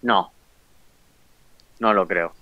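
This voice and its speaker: Shy young boxer with a Bilbao accent. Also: male voice, deep voice, extremely slow, dry recording, dull audio. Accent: Bilbao Spanish. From Colombia. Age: 20s. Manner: shy, short plain answers. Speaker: professional boxer. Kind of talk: interview answers.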